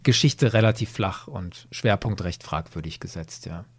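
A man's voice: low-pitched, normal speed, slightly rough, dry recording. Analytical man podcasting, dry close sound. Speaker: podcast host. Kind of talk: conversational review discussion.

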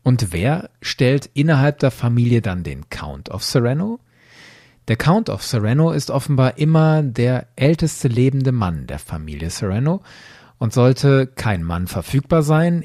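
A man's voice: medium pitch, dark tone, dry recording, clean studio sound. A man hosting a podcast, explaining in a didactic way with emphatic phrasing. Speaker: podcast host.

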